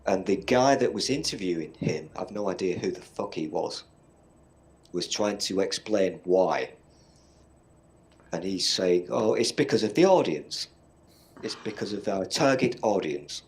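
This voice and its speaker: A man's voice: sits low, expressive, measured pace. A middle-aged man with a Northern English accent, chatting casually on a podcast, blunt and swearing freely as he rambles through stories. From Northern England. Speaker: podcast co-host. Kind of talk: casual chat.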